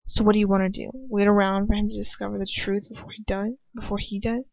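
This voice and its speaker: A woman reading as a frightened, despairing young woman who argues with herself in a halting, anguished way. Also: medium pitch, little pitch movement, creaky, darkish tone, dry recording. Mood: torn, arguing. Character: frightened, despairing young woman narrating her own inner turmoil. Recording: dull, muffled lo-fi home recording.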